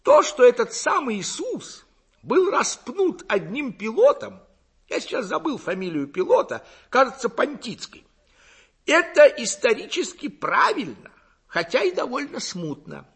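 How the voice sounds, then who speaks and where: A man's voice: extremely high pitch, wildly swooping pitch, a little slow, breathy edge, pitched above the performer's own voice, heavily acted. A man voicing a pompous, ignorant village lecturer in an audiobook, with a gurgling, throaty voice and affected, self-assured oratory. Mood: smug, gushing. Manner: affected, gushing, self-assured oratory in clumsy learned words. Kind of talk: audiobook character acting.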